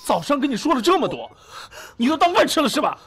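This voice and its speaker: A man's voice: extremely high pitch, big pitch swings, quick pace, a bit bright, heavily acted. A man acting a caring, protective young man in an amateur Chinese audio drama. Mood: scolding. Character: caring young man, protective older-brother type, worried but doting.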